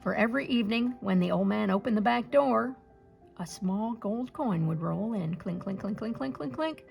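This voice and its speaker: Very creaky woman reading a storybook. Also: mid-range voice, expressive, normal speed, muffled recording, soft background music. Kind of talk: expressive picture-book read-aloud.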